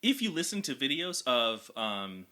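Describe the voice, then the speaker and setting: A young man with a higher speaking voice, chatting energetically as a vocal coach and explaining vocal anatomy in technical terms. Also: sing-song, a little slow, bright, forceful tone. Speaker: vocal coach reacting to music. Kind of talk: casual reaction and explanation.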